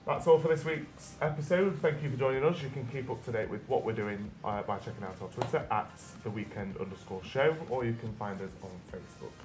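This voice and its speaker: A man's voice: fairly quick, few pauses, over music. A young man co-hosting a student show, talking in a casual discussion style. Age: young adult. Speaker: student radio show co-host. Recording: roomy echo, clear rumbling noise.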